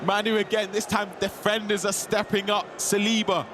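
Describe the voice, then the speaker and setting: A man co-commentating an esports football match with energetic, exclaiming delivery. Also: high voice, moderate pace, a crowd in the background, noisy background. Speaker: esports co-caster. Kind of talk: sports commentary.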